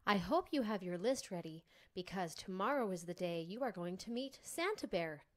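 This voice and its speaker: A man voicing a gentle, worried mother bear in a storybook reading, speaking softly with sighs. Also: sits high, animated, normal speed, voice pushed up. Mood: reassuring. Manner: soft, sighing.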